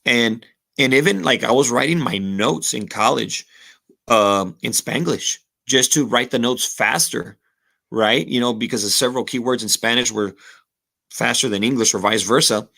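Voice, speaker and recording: A man's voice: mid-range voice, normal speed, vocal fry, bright, forceful tone. A man in his thirties with a Rio Grande Valley accent, talking reflectively as a podcast guest with lots of fillers and Spanish phrases mixed in. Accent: Texas Rio Grande Valley. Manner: reflective, many "you know" fillers, slips into Spanish phrases. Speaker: podcast guest, former college football kicker. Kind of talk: casual podcast conversation.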